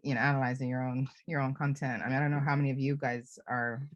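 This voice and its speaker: A woman's voice: very deep voice, little pitch movement, fast talker, no echo, muffled recording. A woman of Lebanese origin in her thirties, a film director answering fan questions on a video call, chatty and self-reflective with frequent laughs and restarts. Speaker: film director being interviewed.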